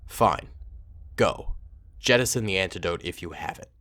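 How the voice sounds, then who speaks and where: A man voicing a cold, irritated dark warlord in a fan space story, muttering his threats flatly. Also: normal speed. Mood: irritated, menacing. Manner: low, flat, grumbling threats. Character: cold, menacing male Sith warlord, weary and irritated.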